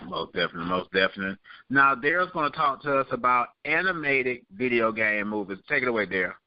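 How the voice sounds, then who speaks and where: A man's voice: medium pitch, animated, fast, dry recording. A man hosting a casual podcast chat, opinionated and emphatic, often repeating himself to make his point. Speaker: podcast host. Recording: dull, muffled lo-fi audio.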